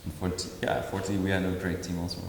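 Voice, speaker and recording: A very deep-voiced man in his forties talks haltingly, with lots of fillers and restarts. He was born in Indonesia and answers interview questions as an artist. The recording comes from a large room with a strong echo, a long reverb and a faint hiss. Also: monotone. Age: 40s. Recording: echoey hall with faint noise.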